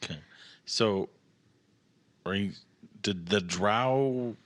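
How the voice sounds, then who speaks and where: A man's voice: fairly low pitch, very slow, a bit bright. A man playing a tabletop game, talking casually as himself and as his character.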